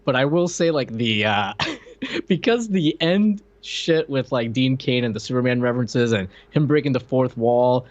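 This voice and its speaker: A man's voice: mid-range voice, moderate pace, dull audio. A man co-hosting a podcast in loose, casual, conversational talk. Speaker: podcast co-host. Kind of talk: casual chat about a film.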